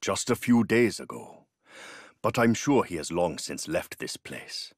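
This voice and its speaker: A man playing a serene, wise old yoga master in a video game, speaking in a measured, solemn way. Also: low voice, very animated, breathy edge, dry recording, clean studio sound, exaggerated voice. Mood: calm, solemn.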